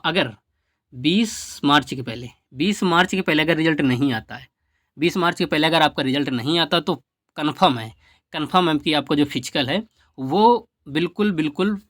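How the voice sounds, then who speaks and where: A man's voice: a little high, fairly bright tone, no echo, studio quality. A man giving an informal, emphatic explainer to students as an exam coach, often repeating his points for stress. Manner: repetitive, emphatic, advising.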